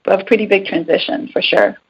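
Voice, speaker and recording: A young woman from Taiwan, a resident physician, answering interview questions softly and hesitantly with many ums. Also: mid-range voice, expressive, brisk, slightly rough. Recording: dull lo-fi sound.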